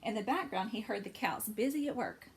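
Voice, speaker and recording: Woman doing kids storytime, really lively pitch, bit creaky, explains stuff simply. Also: brisk. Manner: simple explanations and questions addressed to young children. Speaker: children's storytime host. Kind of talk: animated storybook read-aloud and craft talk for children.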